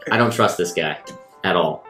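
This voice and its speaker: A man's voice: low-pitched, normal speed, a bit bright. A man playing a tabletop roleplaying game, improvising lines in character. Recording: roomy sound with faint music.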